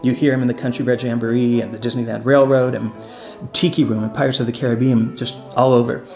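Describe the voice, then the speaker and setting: A man tells stories casually, like an enthusiastic fan sharing history. His voice sits at a middle pitch and flows conversationally, with faint music playing behind him. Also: level tone, fast talker, darkish tone. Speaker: presenter and storyteller. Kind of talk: casual storytelling about theme park history.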